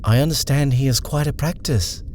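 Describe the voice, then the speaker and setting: A man's voice: medium pitch, normal speed, darkish tone, slight background noise, pitched above the performer's own voice, lightly acted. A man voicing a polite, hesitant young woman who is nervous. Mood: anxious.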